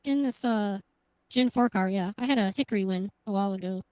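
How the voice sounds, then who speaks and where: A woman's voice: mid-range voice, brisk, a little mellow, dry recording. A woman race driver giving brief, shy answers in a post-race interview.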